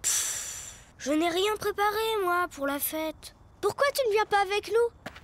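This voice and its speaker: A woman voicing a small boy superhero in a cartoon, boastful one moment and sheepish the next, with quick exclamations. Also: very high-pitched, a bit bright, a little hiss or hum. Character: small boy cosmic superhero, proud and bashful. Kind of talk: cartoon dubbing. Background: cartoon music.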